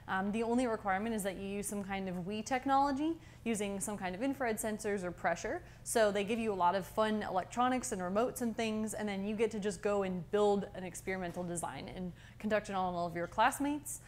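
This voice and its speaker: A young woman giving an informal, upbeat and explanatory guided campus tour as a student guide. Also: medium pitch. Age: young adult. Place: indoor university labs and hallway. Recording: steady clear background room noise.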